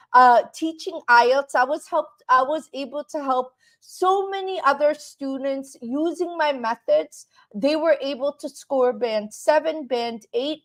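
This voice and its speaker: Woman from Canada, high voice, unhurried delivery; teacher leading a live online class. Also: a bit bright. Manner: repeats "right?" to check in with viewers. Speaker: English teacher.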